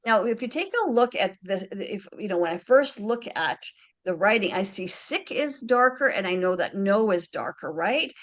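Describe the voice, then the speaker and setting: A woman gives a presentation over a video call. Her voice sits at a middle pitch, neither high nor low. She explains things in a chatty, step-by-step way and keeps checking that her audience is following her. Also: lively intonation, normal speed. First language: Slovene. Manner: chatty and explanatory, frequent "alrighty" and "right?" check-ins. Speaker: handwriting analyst presenter.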